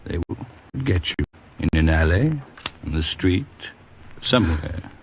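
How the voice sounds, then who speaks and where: A coolly threatening henchman in a 1940s radio thriller. His voice is very deep and slow, deliberate and ominous. The recording is dull and noisy. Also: sing-song, exaggerated voice. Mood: quiet menace. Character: calm, menacing male henchman, coolly threatening. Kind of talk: 1940s radio drama acting.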